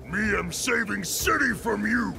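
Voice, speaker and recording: Big dumb undead superhero clone, slow gravelly blustering, broken grammar, video game, loud music. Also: male voice, sits high, animated, heavily acted. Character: dim-witted, lumbering undead clone of a superhero.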